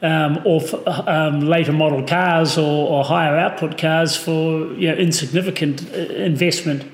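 NZ-accented man, slightly high voice, halting with fillers, echoey room. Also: fairly even intonation. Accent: New Zealand. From New Zealand. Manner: halting, many ums and restarts. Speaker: podcast guest, car owner. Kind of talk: casual podcast chat.

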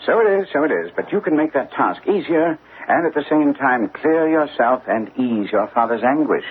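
An actor playing a shrewd, self-assured gentleman detective in an old-time radio drama, precise, probing and courteously commanding. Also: mid-range voice, animated, moderate pace, narrow, tinny audio, natural voice. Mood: calm probing. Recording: thin, band-limited old radio recording, faint noise.

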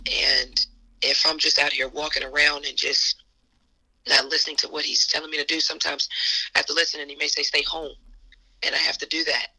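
A hoarse woman, an assistant basketball coach, answering interview questions earnestly and repeating phrases for emphasis. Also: low-pitched, flat delivery, a little fast, bright, forceful tone, rough recording.

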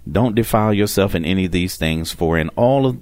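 A man preaching on a broadcast, teaching from scripture in a halting, deliberate way and often repeating his phrases. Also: sits low, a little mellow, dry recording. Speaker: preacher hosting a religious broadcast. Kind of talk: Bible teaching, unscripted explanation of scripture.

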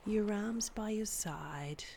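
A woman guiding a meditation in a soft, breathy, soothing voice with measured delivery and long pauses. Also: mid-range voice, slight background noise. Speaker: meditation guide and podcast host. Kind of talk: guided body scan meditation, scripted narration.

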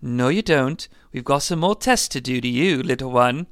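A man's voice: medium pitch, moderate pace, smooth, no echo, clean studio sound, natural voice. A man voicing a firm, matter-of-fact female nurse in a story, scolding but unruffled.

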